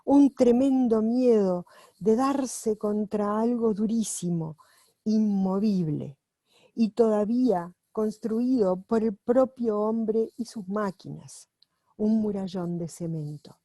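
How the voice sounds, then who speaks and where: A woman reads aloud at home in a slow, warm, mellow voice. She translates a book as she goes, so she moves carefully and corrects herself now and then. The sound is close and dry. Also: medium pitch, no echo. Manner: unhurried and careful, with pauses and self-corrections while reading. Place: at home, with her dog nearby.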